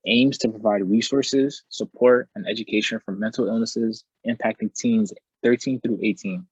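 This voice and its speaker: A man's voice: fairly low pitch, steady tone, normal speed, darkish tone, husky, no room sound. A young man from New York presenting to a video call in a rambling, casual way full of fillers. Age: young adult. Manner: rambling, filler-heavy, laid-back. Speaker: student presenter. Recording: muffled dry video call audio.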